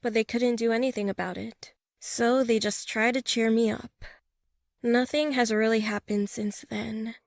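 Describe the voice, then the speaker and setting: A woman reading a scripted first-person scary story as a narrator. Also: on the high side. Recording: loud music bed behind voice. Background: music.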